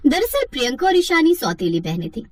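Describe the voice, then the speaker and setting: A woman's voice: high-pitched, wildly swooping pitch, a little fast, no echo, studio quality. A woman narrating a scripted moral story as a storyteller.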